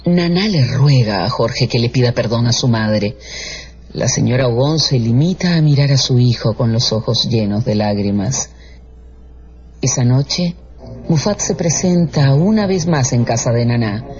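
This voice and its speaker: A man's voice: mid-range voice, expressive, unhurried, darkish tone, slightly rough. A man delivering scripted, dramatic narration for a radio drama. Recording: dull radio production with music underneath.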